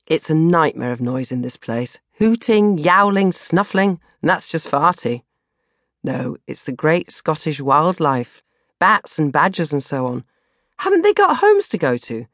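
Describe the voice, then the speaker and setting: A woman's voice: low voice, big pitch swings, measured pace, fairly bright tone, no echo, clean studio sound. A woman narrating a comic first-person diary as an audiobook, with a dramatic, exasperated delivery. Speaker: audiobook narrator reading her own novel.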